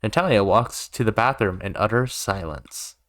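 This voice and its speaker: A man's voice: on the low side, animated, normal speed, fairly bright tone, no echo, clean studio sound. A man doing let's play commentary, reading game narration aloud and dropping in joking asides. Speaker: gaming YouTuber. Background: music.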